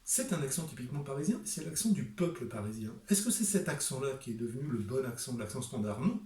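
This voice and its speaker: A man's voice: medium pitch, animated, fast, a little mellow, reverberant, recorded from a distance. A middle-aged man presenting his book to camera, speaking emphatically and rhetorically with frequent hesitations.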